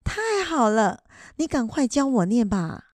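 Lady doing an old taiwanese grandma, earnest n studious, lively pitch, soft dark tone, radio play. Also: measured pace, dry recording, clean studio sound. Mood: eager. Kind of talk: amateur educational radio drama acting.

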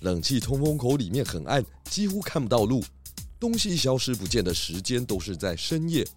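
Animated, confident pig detective played by a man. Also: medium pitch, faint music behind, lightly acted. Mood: deductive, confident. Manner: deliberate, explanatory. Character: clever, genial pig detective, methodical and confident. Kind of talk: children's audio drama acting.

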